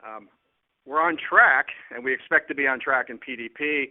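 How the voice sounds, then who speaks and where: Man, finance executive, medium pitch, plain-spoken, halting phrasing, earnings call answers, narrow telephone sound. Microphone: conference call line. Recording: narrow phone-line sound.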